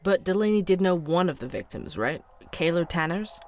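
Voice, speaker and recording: Inquisitive male podcast investigator, higher-pitched voice. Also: expressive, moderate pace, darkish tone. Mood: serious, probing. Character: inquisitive male true-crime podcast host, thoughtful investigator. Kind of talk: scripted investigative narration and interview.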